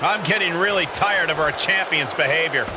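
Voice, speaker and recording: A man's voice: a little high, normal speed, a loud crowd, very noisy, lightly acted. A man playing an emphatic play-by-play wrestling announcer in a video game.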